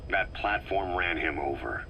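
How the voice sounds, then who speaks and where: An actor playing a grim soldier commander who speaks in a terse, guarded way in game dialogue. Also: male voice, low-pitched, measured pace, vocal fry, highs cut off, slight background noise.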